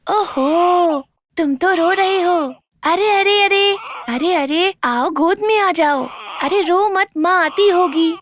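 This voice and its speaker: A woman voicing a timid, mistreated little girl in a cartoon, speaking gently and pleading. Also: extremely high pitch, takes it slowly. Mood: soothing. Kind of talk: cartoon voice acting.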